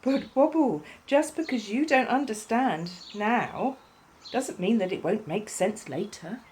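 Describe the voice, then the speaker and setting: A woman voicing a loyal young male bunny in a children's storybook, earnest, reassuring and gently chiding. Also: a little high, lively intonation, a little slow, a little hiss or hum, pitched above the performer's own voice. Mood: patient, chiding. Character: loyal, patient young bunny, son of a rabbit. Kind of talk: children's storybook character reading.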